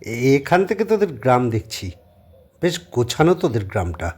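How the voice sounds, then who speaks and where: A man voicing a polite, puzzled young houseguest with a husky voice and a questioning way in an audio drama. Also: mid-range voice, very animated, normal speed, darkish tone. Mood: friendly. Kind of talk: Bengali audio drama acting.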